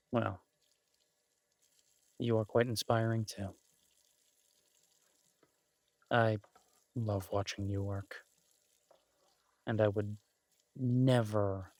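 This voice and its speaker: Man acting as a low-voiced, exasperated, affectionate chef boyfriend. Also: steady tone, darkish tone, no room sound. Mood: tender, sincere. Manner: conversational, halting with stammered restarts. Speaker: audio roleplay voice actor. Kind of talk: intimate boyfriend roleplay, one-sided casual dialogue.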